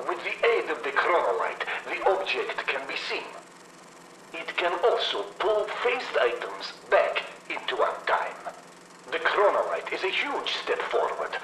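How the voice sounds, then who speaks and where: A man playing a stiff, earnest middle-aged Soviet scientist in a game, giving a formal, measured experiment log. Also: on the high side, sharp tone, recorded from a distance. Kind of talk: scripted archival film narration. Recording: tinny, echoing, noisy old film soundtrack.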